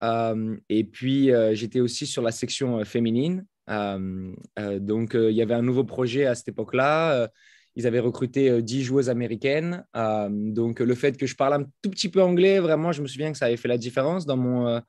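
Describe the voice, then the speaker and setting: A man's voice: mid-range voice, fairly quick, a little mellow, dry recording. French man, thirties, dry close sound. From France. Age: 30s. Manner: hesitant, many fillers and restarts. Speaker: guest, fitness coach. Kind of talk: casual interview talk. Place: home in Florida, over a call.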